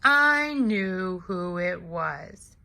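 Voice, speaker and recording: A woman's voice: fairly low pitch, animated, creaky, bright, forceful tone, exaggerated voice. A woman voicing a big, hungry male giant who tells his side of a storybook tale in an aggrieved, exclamatory way. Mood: aggrieved, sly. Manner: exclamatory, indignant, deliberate storyteller. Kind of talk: expressive children's storybook read-aloud.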